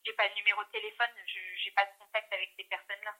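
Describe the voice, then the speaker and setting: Over a telephone line, a woman handles a customer service call in a bright, sharp voice at a middling pitch. She works as a call centre agent and often repeats and rephrases as she explains. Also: flat delivery, racing. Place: phone call, recorded from the line.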